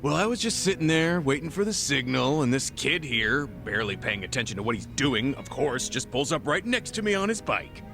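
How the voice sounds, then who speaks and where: A man's voice: medium pitch, very animated, fairly quick, gravelly, hardly any pauses, pushed and bright, exaggerated voice. An actor voicing a pushy, condescending male swindler in a video game, brusque and blustering. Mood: arrogant, demanding. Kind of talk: video game cutscene dialogue. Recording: music playing behind dialogue.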